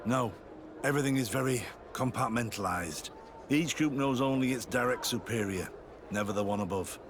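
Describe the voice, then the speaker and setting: A man's voice: medium pitch, moderate pace, noisy background, natural voice. A man playing a guilt-ridden, secretive army sergeant turned informant in a video game, guarded, earnest and confiding. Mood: grave. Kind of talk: video game cutscene acting.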